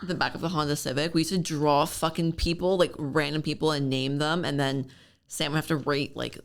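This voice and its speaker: Deep, gravelly-voiced young woman. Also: steady tone, a little fast. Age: 20s. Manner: blunt, swears a lot. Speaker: podcast co-host. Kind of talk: casual chat.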